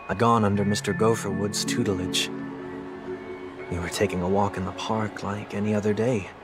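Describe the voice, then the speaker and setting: An actor playing a grave, dignified and reflective gentleman in a video game, speaking in a formal, measured way. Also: lowish voice, lightly acted. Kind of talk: scripted video game voice acting.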